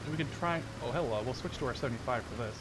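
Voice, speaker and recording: A man's voice: mid-range voice, rapid-fire. A man in his twenties giving casual, chatty, playful gameplay commentary, often thinking aloud as he plays. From Canada-based, Dutch. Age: 20s. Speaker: gaming video host. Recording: vehicle and game noise behind voice. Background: tank game sounds.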